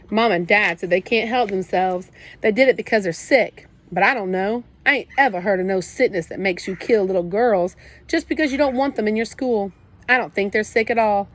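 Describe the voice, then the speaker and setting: A woman's voice: mid-range voice, lightly acted. A woman voicing a tough teenage big brother who talks in blunt slang, scolding and protective at once. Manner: streetwise slang, scolding then comforting.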